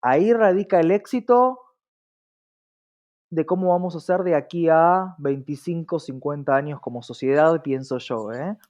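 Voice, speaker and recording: Higher-pitched Latin American man, dull recording. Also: measured pace, a little mellow. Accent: Latin American Spanish. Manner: frequent rhetorical check-in tags. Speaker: diversity consultant, interview guest. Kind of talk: explanatory interview talk. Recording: dull, lo-fi sound.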